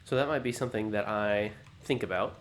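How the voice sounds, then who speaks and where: Male let's-play host, low groggy morning voice, unhurried thinking-aloud delivery, faint background hiss. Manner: musing, unhurried, self-talking. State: low morning voice, just woken up. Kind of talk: thinking-aloud gameplay commentary.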